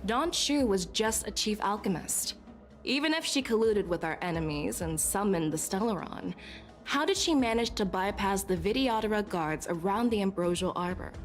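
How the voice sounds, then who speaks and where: A woman's voice: mid-range voice, animated, moderate pace, lightly acted. Actress as poised female general over music. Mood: probing. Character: poised, probing female military general, courteous but sharp. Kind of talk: scripted video game dialogue. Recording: game audio with music bed. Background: background music.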